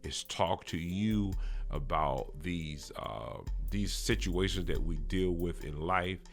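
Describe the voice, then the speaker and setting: Very deep-voiced, gravelly male podcast host. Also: soft background music. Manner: halting, repetitive phrasing with frequent stammered restarts; earnest, posing rhetorical questions. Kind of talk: casual talk to live viewers.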